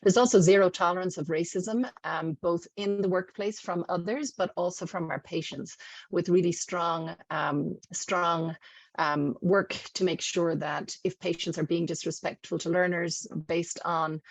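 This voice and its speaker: A woman's voice: lowish voice, fairly even intonation, measured pace, no room sound. A middle-aged woman, a residency program director, giving a casual webinar talk with many ums and asides. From not from the Midwest. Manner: warm, chatty, many fillers. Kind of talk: webinar presentation. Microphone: video call.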